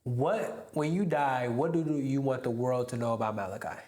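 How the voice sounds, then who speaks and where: A man in his twenties hosting a casual podcast chat. He talks in an animated way, laughs often and keeps saying "bro.". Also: medium pitch, normal speed, echoey room. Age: 20s. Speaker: podcast host. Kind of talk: casual conversation.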